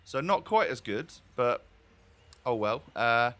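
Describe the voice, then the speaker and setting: Man, bright forceful voice, medium pitch, casual gaming host, chatty, self-deprecating. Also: sing-song, slow. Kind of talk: casual gameplay commentary.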